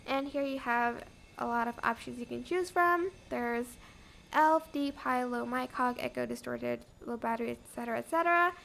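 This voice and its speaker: Female tutorial host, high register with creaky edges, casual step-by-step delivery, light background noise. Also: moderate pace, fairly bright tone. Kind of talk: casual software tutorial narration.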